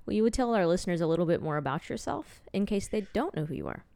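A woman with a Texan Southern accent co-hosts a podcast, chatting and telling stories while laughing easily. Her voice sits at a middle pitch, recorded dry and close with no room sound. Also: very animated, fast, vocal fry, no room sound. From Texas. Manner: warm storytelling, laughs easily. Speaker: podcast co-host. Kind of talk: casual interview chat.

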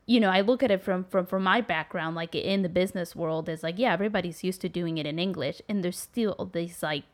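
A woman's voice: fairly low pitch, a little fast. A woman who works as a management consultant, explaining her view earnestly and at length in casual conversation.

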